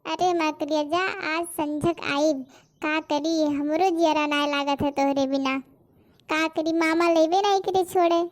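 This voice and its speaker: A woman voices a young village sweetheart in a cartoon, with an extremely high, childlike pitch and an Awadhi accent. She is sulky and sharp-tongued, scolding with impatience. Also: fairly even intonation, a little slow. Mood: fond, resigned. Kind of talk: comic rustic cartoon dialogue.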